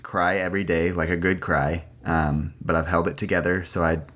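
A man's voice: low-pitched, level tone, moderate pace, a bit bright. A young man from Singapore, a band's lead singer, chatting casually on a podcast and laughing easily. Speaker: lead singer of a band, guest.

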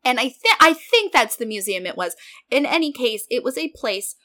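A woman's voice: fairly high pitch, lively intonation, normal speed, sharp tone. A woman co-hosting a casual podcast, bantering with self-deprecating humour. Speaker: podcast co-host.

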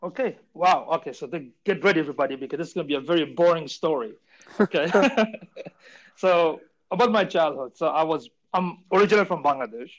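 A man's voice: a little high, brisk, highs cut off. A man raised in Saudi Arabia, a podcast guest telling his life story in a chatty way and laughing often. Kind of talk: casual interview storytelling.

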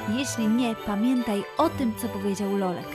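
A woman reading a scripted children's story as narrator, with careful, expressive storytelling. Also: mid-range voice, normal speed. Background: background music.

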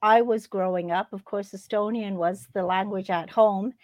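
A woman's voice: medium pitch, fairly even intonation, normal speed, a little mellow, no echo. A middle-aged woman talking in a casual, easygoing, conversational way. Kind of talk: casual chat.

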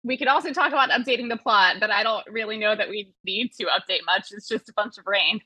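A woman's voice: medium pitch, fast, bright, forceful tone, slightly rough, no room sound, poor audio. A woman talking casually on a podcast panel. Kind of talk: casual chat.

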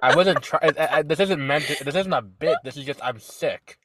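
A man's voice: a little high, moderate pace, sharp tone, dry recording, clean studio sound. A man with a stuffy, nasal voice from a cold, co-hosting a podcast in casual chat, with lots of fillers and restarts. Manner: rambling, hesitant, many fillers and restarts. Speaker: podcast co-host.

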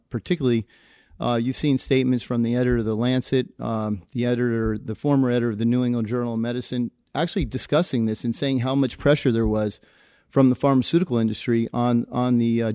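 A man's voice: mid-range voice, a little mellow, no echo. Hesitant male doctor, dry sound. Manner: hesitant, many fillers and restarts. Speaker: physician guest. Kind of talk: panel interview answers.

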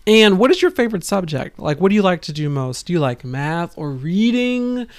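A woman with a low-pitched voice chats casually as a podcast host. Her voice is raspy and worn out. She rambles and pauses often as she talks. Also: big pitch swings, a little mellow. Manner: rambling, halting, with frequent pauses. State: tired voice.

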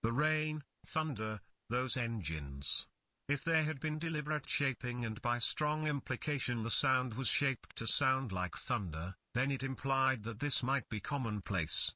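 A man's voice: medium pitch, animated, unhurried, bright, forceful tone, dry recording, clean studio sound. An actor playing a thoughtful, inquisitive man in audio fiction, musing to himself with emphasis. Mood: pondering. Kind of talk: audio fiction character dialogue.

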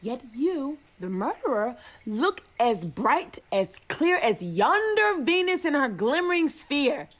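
Lady playing a lovesick young gent, slow melodramatic verse, fairly high voice, bit scornful, radio play. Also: very animated, exaggerated voice. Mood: wounded devotion.

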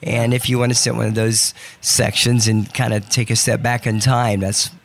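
A man who performs as a guest on a radio show, his voice lowered by allergies, talking in a chatty, enthusiastic way. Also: flat delivery, moderate pace, slight background noise. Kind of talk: casual radio interview. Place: radio studio.

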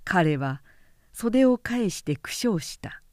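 A woman narrating a literary period story as an audiobook, in a measured, dramatic storytelling manner. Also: medium pitch, expressive, a little mellow, dry recording, clean studio sound. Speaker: narrator, announcer.

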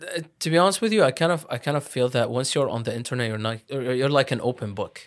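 A young man from Iraq chats on a podcast in an easy, unpolished way. His voice sits at an ordinary middle pitch, and he rushes through thoughts, doubling back on his words and leaning on fillers. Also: expressive. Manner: fast, restarting, filler-heavy talk. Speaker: podcast host. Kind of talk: casual podcast conversation.

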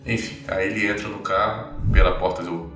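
A man narrates a tabletop game as game master, casually and off the cuff. His low voice is distant and very echoey, over faint music. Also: fairly even intonation, brisk, sharp tone.

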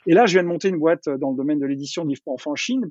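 A middle-aged man speaks in a husky, slightly high voice, racing through his story. He is a chatty interview guest who keeps restarting and repeating words. The recording is dry and a little dull. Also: expressive, racing, darkish tone, no echo. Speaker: entrepreneur interviewee. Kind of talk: casual conversational interview.

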